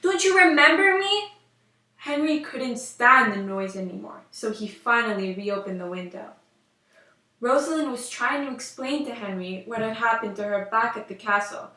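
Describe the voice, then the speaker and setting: A woman's voice: on the high side, pushed and bright. A young woman reading a scripted fairy tale aloud as storyteller. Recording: roomy echo, distant microphone.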